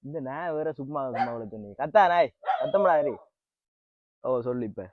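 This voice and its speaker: A sheepish young man dodging his debts, played by a woman dropping her voice low. He mumbles evasive excuses with a pitch that swings around. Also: animated, normal speed, narrow, tinny audio.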